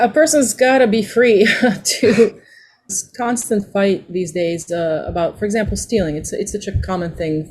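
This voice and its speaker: A woman speaking as a panelist in a casual discussion, animated and laughing between her points. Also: medium pitch, a little hiss or hum.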